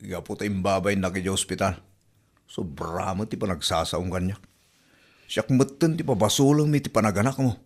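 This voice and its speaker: A man's voice: deep voice, moderate pace. A man with an Ilocano accent playing a flustered, defensive young husband in a comedy radio drama.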